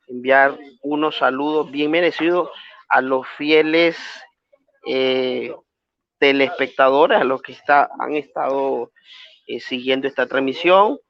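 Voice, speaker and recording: A man from Nicaragua with a Nicaraguan accent moderating an online debate, speaking formally with frequent hesitations. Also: a little high. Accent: Nicaraguan Spanish. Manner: measured, formal, many hesitations. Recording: dull lo-fi online stream audio.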